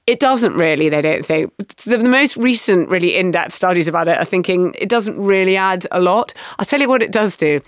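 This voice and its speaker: A woman co-hosting a podcast in casual, gossipy chat, full of quick asides. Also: lowish voice, animated, no echo, clean studio sound. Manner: chatty, gossipy, quick asides and self-corrections. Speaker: podcast co-host.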